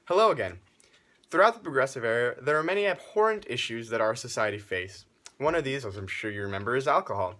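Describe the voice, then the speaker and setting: Male news presenter, medium pitch, formal scripted period report, speaks straight to the viewer. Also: animated, fast talker, a bit bright.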